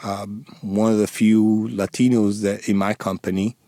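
A man in his forties from the Dominican Republic with a thick Dominican Spanish accent, a native Spanish speaker answering radio interview questions in a halting, earnest way with many restarts. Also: lowish voice, level tone, mellow, no room sound, clean studio sound. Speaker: interview guest, former police sergeant.

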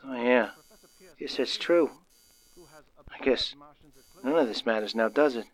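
An actor playing a nervous, excitable young working man of the 1930s, stammering and using folksy slang, in a radio drama. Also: medium pitch, unhurried, close to an everyday voice, poor audio. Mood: awkward, hesitant. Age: young adult. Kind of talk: old-time radio drama acting.

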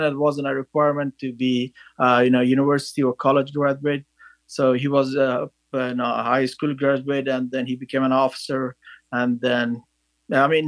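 A man, a podcast guest telling his story in a halting way, with many fillers. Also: fairly high pitch, no echo. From Afghanistan. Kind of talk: casual interview storytelling.

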